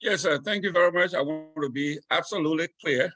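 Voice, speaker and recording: A man with a fairly high voice speaks as a talk show participant. His talk is casual and opinionated, and the sound is dry. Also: fast talker, a bit bright, no room sound, soft background music.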